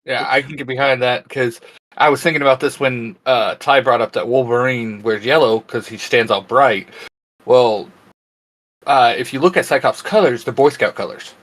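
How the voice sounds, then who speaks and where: A man's voice: mid-range voice, moderate pace, fairly bright tone, rough recording. A man chatting casually as a panelist in a geeky discussion.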